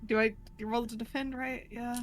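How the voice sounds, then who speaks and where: A woman's voice: a little high, steady tone, brisk. A woman chatting casually as a player in a tabletop role-playing game.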